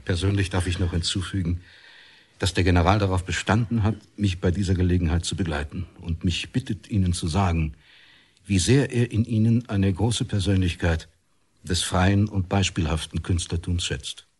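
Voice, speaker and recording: Radio play official, very husky low voice, formal, urbane, courteous commissioner. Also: male voice, moderate pace, a little mellow. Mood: courteous, explanatory. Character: urbane, official state commissioner acting as interpreter. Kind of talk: radio drama dialogue.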